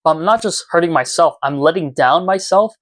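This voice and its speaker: A man's voice: a little high, a little fast, fairly bright tone, no room sound, clean studio sound. A twenty-year-old man talking earnestly and reflectively to viewers in a casual, confessional vlog recorded in his room at home. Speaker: YouTuber. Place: small room at home, indoors.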